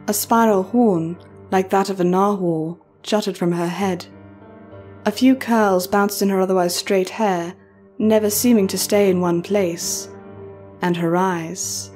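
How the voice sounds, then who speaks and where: Female narrator for horror audio story, mellow, dark-toned delivery, mid pitch, light music bed. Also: steady tone, unhurried. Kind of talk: scripted horror fan fiction narration.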